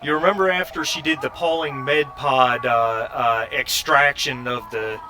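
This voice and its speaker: A man running a tabletop roleplaying game as game master, speaking in a halting, thinking-aloud way. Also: medium pitch, fairly even intonation. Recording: clearly noisy background hum.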